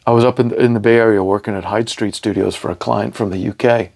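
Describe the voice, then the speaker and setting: A man's voice: lowish voice, steady tone, fast, vocal fry, darkish tone. A middle-aged man with a British accent, a studio engineer chatting informally as an interview guest, peppering his stories with "man" and "you know". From born in Milan, grew up in England and Scotland. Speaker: podcast guest, recording engineer and studio owner. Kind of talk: casual interview storytelling. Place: recording studio.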